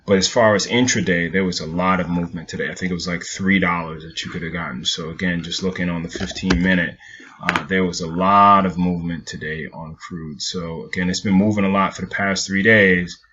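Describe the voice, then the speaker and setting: A man's voice: low-pitched, steady tone, brisk, pushed and bright, dull audio. A man from Jamaica giving a casual stock market recap over charts, rambling and repeating himself.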